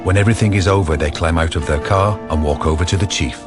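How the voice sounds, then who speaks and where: A man giving dry, measured scripted narration for a crime radio drama. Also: low-pitched, music in the background, natural voice.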